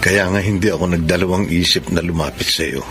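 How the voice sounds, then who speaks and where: An actor with a Filipino accent playing a jealous, pressing rejected suitor in a radio drama. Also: male voice, sits low, fast, natural voice. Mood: insistent, jealous. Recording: music behind the voice.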